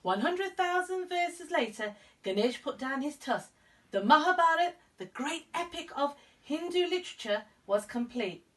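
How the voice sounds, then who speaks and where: A woman narrating a children's story expressively as a storyteller, with clear emphasis for young listeners. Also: high voice, expressive, normal speed, recorded from a distance. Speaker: storyteller, school reader.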